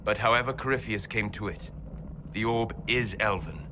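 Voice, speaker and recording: Grave, low-voiced elven scholar acted by a man. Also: noisy background, natural voice. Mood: grave, thoughtful. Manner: measured, grave, lyrical phrasing. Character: scholarly male elven mage, grave and composed. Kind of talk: game cutscene acting.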